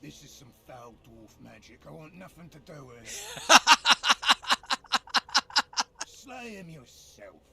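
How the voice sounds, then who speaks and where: An actor playing a brutish, rebellious monster henchman in a video game, snarling and emphatic with a gravelly voice. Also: on the high side, huge pitch swings, a little slow, sharp tone, soft background music, heavily acted. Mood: scornful. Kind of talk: video game creature acting.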